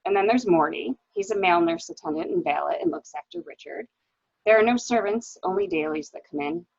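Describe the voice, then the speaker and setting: Woman acting a husky, creaky, tense murder-suspect wife. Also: medium pitch, animated, a little fast, dry recording, lo-fi sound. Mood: guarded explaining. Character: tense, evasive wife suspected of shooting her husband. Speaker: library staff reader. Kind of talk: amateur dramatic reading of a murder mystery.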